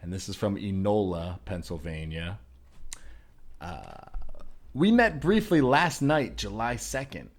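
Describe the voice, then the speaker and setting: A man with a fairly low, husky voice hosts a comedy podcast. He introduces things casually and reads aloud. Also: expressive, measured pace.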